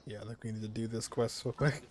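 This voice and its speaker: A man's voice: fairly low pitch, steady tone, fast talker, slight background noise. A man reacting casually and informally as he plays a video game on stream. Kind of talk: casual reactions while playing.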